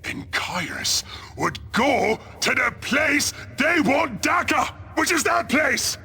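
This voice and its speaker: A man playing a brash, belligerent ork warboss in a video game cutscene, with a gravelly, harsh Cockney voice and crude bellowing grammar. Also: very high-pitched, very animated, slow, pushed and bright, shouting, exaggerated voice. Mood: exasperated. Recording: game music under the voice.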